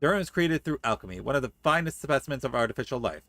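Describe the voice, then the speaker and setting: A man's voice: medium pitch, expressive, very fast, pushed and bright, no room sound. A man livestreaming a game, reading story dialogue aloud articulately and adding playful comments. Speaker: game streamer.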